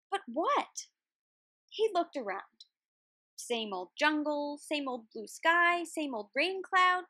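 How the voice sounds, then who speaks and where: A woman reading a children's picture book aloud in an expressive, deliberate way, like a teacher. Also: sits high, no room sound, clean studio sound. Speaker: read-aloud storyteller, art teacher.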